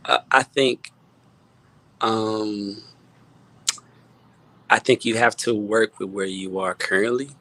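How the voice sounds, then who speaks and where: A man in a casual online conversation, giving informal, encouraging advice with halting, repeated phrases. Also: fairly low pitch, fairly even intonation, pushed and bright, poor audio. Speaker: guest music producer. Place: remote online video call.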